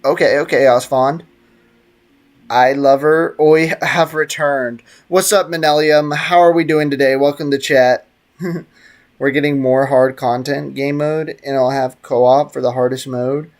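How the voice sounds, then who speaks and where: A man's voice: medium pitch, little pitch movement, unhurried. A man livestreaming a game, chatting casually with slang and teasing his viewers. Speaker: game streamer. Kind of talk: casual livestream gaming chat, reacting to game and chat.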